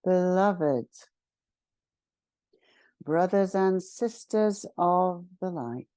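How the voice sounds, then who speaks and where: A woman guides a spiritual meditation at an extremely unhurried pace, leaving long pauses between short phrases. Her voice is a little low and mellow, with a solemn, ceremonial delivery. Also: no room sound. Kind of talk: guided meditation, spiritual invocation and channelled message.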